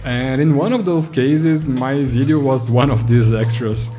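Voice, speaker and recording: A man's voice: medium pitch, measured pace, mellow, faint music behind. Brazilian man telling a nostalgic story. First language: Portuguese. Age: 30s. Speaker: YouTuber. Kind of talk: scripted retrospective narration.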